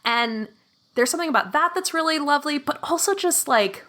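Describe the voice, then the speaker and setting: A woman's voice: high-pitched, animated, a little fast, sharp tone. A woman chatting casually as a podcast guest, enthusiastic and full of fillers. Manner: enthusiastic, lots of "like" fillers and laughs.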